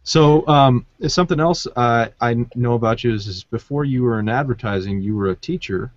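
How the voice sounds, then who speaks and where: A man's voice: mid-range voice, a little fast, no room sound. A man hosting a podcast, interviewing in a casual, conversational way. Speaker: podcast host. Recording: dull, dry lo-fi audio.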